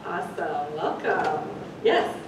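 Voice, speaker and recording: An American woman giving an informal welcome talk to new students, chatty and halting as she presents. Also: animated. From San Francisco Bay Area, United States; born in the Philippines. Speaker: orientation presenter, university associate director. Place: echoey indoor room at a student orientation. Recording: echoey room, distant mic, rumbling noise.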